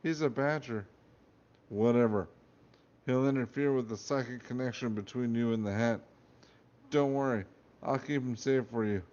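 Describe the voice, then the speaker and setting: A man voicing a scrappy young male student sidekick in a slightly lower, offhand voice. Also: moderate pace, pauses often, darkish tone, lightly acted. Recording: dull lo-fi recording.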